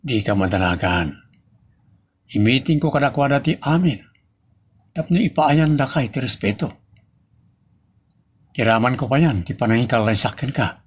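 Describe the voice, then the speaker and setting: A man's voice: medium pitch, expressive, normal speed, pauses often, breathy edge. A man acting a reassuring, devoted businessman in love in a radio drama. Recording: music behind the voice. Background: music.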